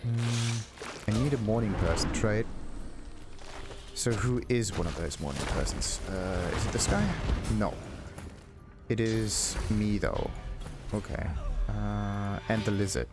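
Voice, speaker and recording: A man giving casual game commentary as a let's play host, thinking aloud in a halting, puzzled way. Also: on the low side. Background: game music.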